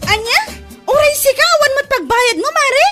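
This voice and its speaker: High female voice, nagging lender, exasperated, scolding, comedy radio drama. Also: expressive, moderate pace, bright, forceful tone, soft background music, heavily acted. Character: irritated, nagging woman lender collecting unpaid debts.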